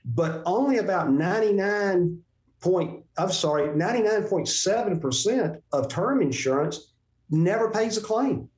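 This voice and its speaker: A man's voice: sits high, lively intonation, unhurried, darkish tone. Emphatic man in an echoey room. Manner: emphatic, repeats himself while building a point. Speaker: financial advisor, show host. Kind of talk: casual conversation. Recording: roomy echo.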